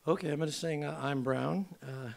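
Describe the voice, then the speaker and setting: An older man, slightly hoarse, introducing his song in casual, rambling stage talk with many fillers. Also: a little high, a little fast, a little mellow, slight background noise. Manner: rambling, filler-heavy, chatty. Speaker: singer-songwriter. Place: theater stage at a museum event.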